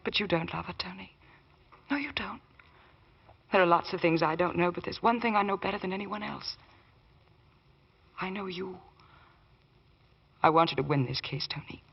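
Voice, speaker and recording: An actress playing a lawyer's anguished, loyal wife with earnest, deliberate emotion in a 1940s radio drama. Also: female voice, on the low side, vocal fry, slightly rough. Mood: pleading, intense. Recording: dull vintage radio recording.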